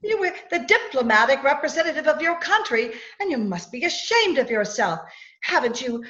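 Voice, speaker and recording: Radio-play fiancee, woman actor: haughty, exasperated, theatrical, animated delivery over muffled call audio. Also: sits high, normal speed, exaggerated voice. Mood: incredulous. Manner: theatrical, insistent, scolding. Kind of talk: old-time radio drama acting. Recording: dull video-call audio.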